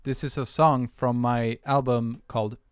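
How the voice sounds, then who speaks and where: A man's voice: mid-range voice, level tone, a little slow, clean tone, no room sound, clean studio sound. A man with a Swedish accent, chatting loosely with viewers on a music livestream, full of fillers and restarts. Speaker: music producer streamer. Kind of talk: casual livestream chat.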